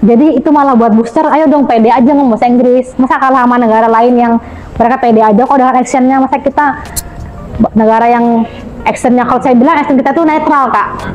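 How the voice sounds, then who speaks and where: A woman from Indonesia talks in a loose, storytelling way as a podcast guest, her voice sitting a little high. She scatters English words through her speech. The recording sounds dull and lo-fi, with faint music underneath. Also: steady tone, fast, a little mellow. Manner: chatty, storytelling, often adds "Kak" to her sentences. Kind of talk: casual podcast chat.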